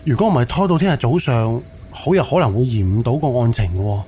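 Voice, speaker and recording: Man playing an earnest civilian sleuth, medium pitch. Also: expressive, a little fast, dark tone, slight background noise, natural voice. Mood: earnest, suggesting. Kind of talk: Cantonese audio drama acting.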